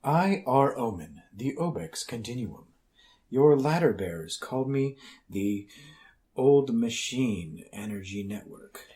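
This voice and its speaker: A man's voice: mid-range voice, animated, slow, dark tone. A man playing an omnipotent, quirky supercomputer in a comic radio drama, speaking in pompous, convoluted and oddly formal sentences. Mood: quirky, grandiose.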